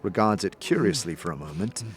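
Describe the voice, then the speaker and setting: Animated male fantasy narrator. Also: sits low, fairly quick, vocal fry. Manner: dramatic, storytelling in second person. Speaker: narrator, game master. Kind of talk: scripted fantasy audio drama narration.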